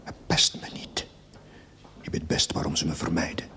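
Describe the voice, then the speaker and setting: An actor playing a troubled, defensive village curate in a television drama, speaking tersely and hushed with a Dutch accent. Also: male voice, extremely low pitch, monotone, normal speed, in a whisper. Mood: hurt, defiant. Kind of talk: television period drama acting. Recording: loud music over television soundtrack.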